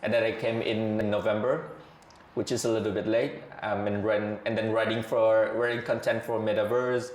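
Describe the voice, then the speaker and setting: A man, a podcast guest talking in a measured, thoughtful way with frequent hesitations. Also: on the low side, flat delivery. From Vietnam. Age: 30s. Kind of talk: casual interview chat. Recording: echoey room.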